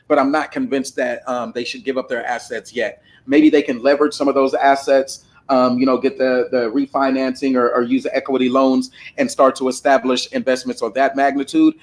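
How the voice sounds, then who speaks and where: A man hosting a casual livestream panel discussion, talking bluntly and with plenty of profanity over a desktop microphone. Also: medium pitch. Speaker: panel host.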